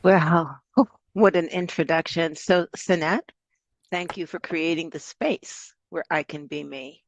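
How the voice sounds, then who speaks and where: A woman's voice: sits low, slow, slight background noise. An American woman introducing herself as a guest speaker on a video call, earnest and grateful, her talk wandering a little. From United States. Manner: earnest, grateful, slightly meandering.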